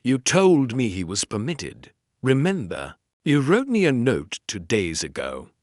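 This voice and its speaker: A man's voice: mid-range voice, wildly swooping pitch, a little slow, darkish tone, no room sound, clean studio sound. A man voicing an aghast housekeeper woman in storybook dialogue, defensive and flustered.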